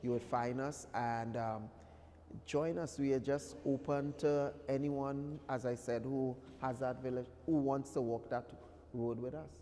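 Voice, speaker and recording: A man gives informal, halting interview answers in a dark, mellow voice of middling pitch. The hall around him adds a long reverberant tail. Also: fairly even intonation. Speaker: interview guest. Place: large indoor event venue. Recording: reverberant hall, faint background noise.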